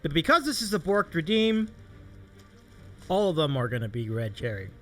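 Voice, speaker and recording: A man's voice: fairly high pitch, sing-song, normal speed, a bit bright. A man hosting a livestream interview show, chatting casually in an appreciative, encouraging way. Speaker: host of a streaming interview show.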